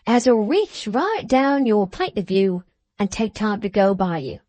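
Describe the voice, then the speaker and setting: A woman's voice: mid-range voice, lively intonation, unhurried, mellow, dry recording. A woman voicing a jovial, boastful older man who speaks in broad, ungrammatical English, eager and enthusiastic. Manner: broad, rough-grammared, enthusiastic.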